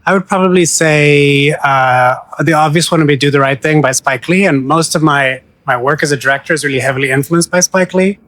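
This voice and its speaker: A man from Pakistan who grew up in Africa, an actor and filmmaker answering interview questions outdoors at a festival in a thoughtful, measured way. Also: fairly high pitch, a bit bright, no room sound. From Pakistan, raised in Southern and Eastern Africa.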